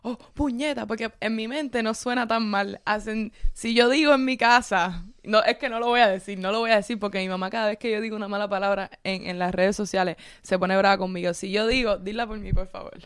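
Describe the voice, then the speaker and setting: A woman's voice: mid-range voice, fairly even intonation, brisk, few pauses, smooth, no echo, clean studio sound. A young woman from Cuba living in Miami, speaking Spanish with a Cuban-Miami accent and dropping English words into her sentences in casual chat. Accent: Miami Cuban Spanish with English mixed in. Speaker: content creator.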